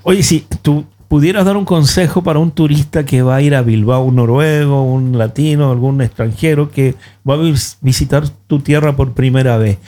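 A man's voice: mid-range voice, normal speed, few pauses, mellow. A man hosting a radio show, interviewing guests conversationally at a studio microphone. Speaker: radio host.

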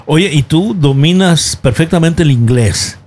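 A man's voice: a little high, lively intonation, measured pace, darkish tone, a little hiss or hum. A man hosting a conversational radio interview, speaking familiarly and prompting his guest with questions. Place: radio studio.

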